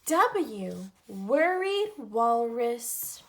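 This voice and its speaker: Female children's storyteller, higher voice, animated intonation, deliberate phrasing with pauses for an alphabet picture book. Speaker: read-aloud storyteller for children. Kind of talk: picture book read-aloud, teaching the alphabet.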